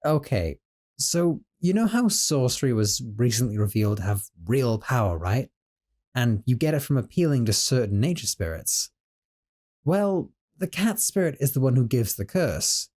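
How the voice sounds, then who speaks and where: A nervous young sorcerer roommate, played by a man, soft-spoken and kind, stumbling over his words as he soothes the listener. His voice has a mellow warmth and swings freely up and down as he talks. The sound suits an intimate audio roleplay. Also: mid-range voice, sing-song, moderate pace. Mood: guilty confession. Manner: hesitant, stammering, soothing.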